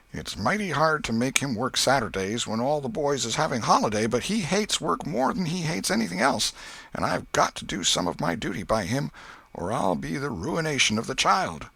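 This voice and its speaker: A man voicing an elderly, pious, soft-hearted aunt with a folksy Southern US accent in an audiobook, scolding and sighing. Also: fairly high pitch, sing-song, normal speed, vocal fry, slightly rough, no room sound, pitched above the performer's own voice, full character voice. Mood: fretful, pitying. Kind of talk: audiobook character voice.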